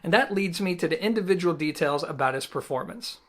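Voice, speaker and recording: Higher-pitched man reviewing audio gear. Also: expressive, fairly quick, over loud music. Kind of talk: product review to camera, conversational. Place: small indoor home listening room.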